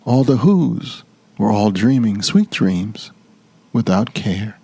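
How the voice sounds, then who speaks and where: A man reading a children's storybook dramatically, with theatrical, drawn-out emphasis. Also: fairly low pitch, lively intonation, vocal fry, mellow, slightly rough.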